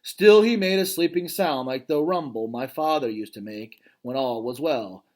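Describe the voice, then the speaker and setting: A man reading a children's novel aloud as its gentle, thoughtful male gorilla narrator, in a calm, reflective way. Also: medium pitch, moderate pace, lightly acted. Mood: wistful.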